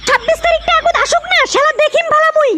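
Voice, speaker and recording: A performer voicing a scolding, sarcastic village mother in a comedy cartoon, with a North Bengal accent and an artificially pitched-up voice. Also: quick pace. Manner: sarcastic, nagging, coarse-mouthed. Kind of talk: comedy cartoon dialect dialogue. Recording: loud background noise with clicks.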